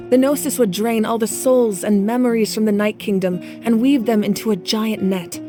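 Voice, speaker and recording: A woman playing a grave, resolute young leader in a video game, speaking in a measured, authoritative way. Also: medium pitch, a little mellow, close to an everyday voice. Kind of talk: dramatic video game dialogue.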